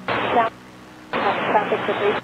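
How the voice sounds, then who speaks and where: A woman's voice: medium pitch, flat delivery, measured pace. A woman pilot giving brief readbacks over an aircraft radio. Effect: aircraft radio transmission. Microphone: aircraft radio microphone. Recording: muffled, noisy cockpit radio.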